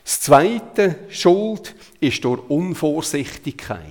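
A man's voice: on the high side, animated, takes it slowly, darkish tone. A man with a Zurich Swiss German accent preaches a sermon in a conversational, emphatic way, retelling Bible passages. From Zurich, Switzerland. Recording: long hall reverb.

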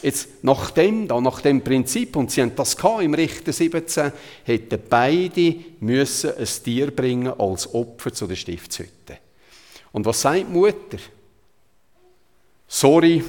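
A male preacher with a Zurich Swiss German accent speaks at a medium pitch, with long hall reverb around his voice. His delivery is conversational yet emphatic, in the style of a sermon that retells scripture. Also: darkish tone. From Zurich, Switzerland.

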